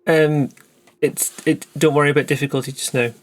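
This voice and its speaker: A man's voice: on the high side, fairly even intonation, a little fast. A man running a tabletop roleplaying game, mixing narration with casual, slightly hesitant table talk. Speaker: game master.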